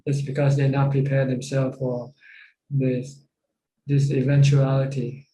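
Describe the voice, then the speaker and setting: A man with a Thai accent giving informal Buddhist teaching on a video call, repeating phrases in halting fashion. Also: medium pitch, level tone, mellow. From Thailand. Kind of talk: informal Dhamma teaching with questions. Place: Zoom call. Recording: roomy, echoing video call.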